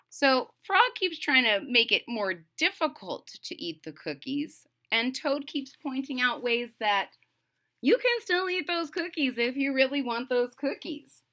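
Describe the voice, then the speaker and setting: A woman with a high, bright voice reads a storybook to children. Her delivery is animated and expressive, and she explains things in simple words as she goes. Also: slow. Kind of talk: read-aloud narration with explanatory asides to children.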